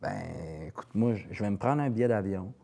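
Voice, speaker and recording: A man with a Quebec French accent chats in an interview in a dark, relaxed voice that sits a little low. He tells things in anecdotes, circling back on words as he looks for the right phrase. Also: fairly quick, gravelly. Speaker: singer-songwriter being interviewed. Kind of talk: casual interview conversation.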